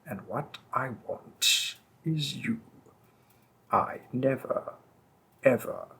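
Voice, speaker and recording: A man voicing a possessive, seductive male demon king in an audio roleplay, speaking softly and often in a whisper, slow and teasing. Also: medium pitch, slightly rough, heavily acted.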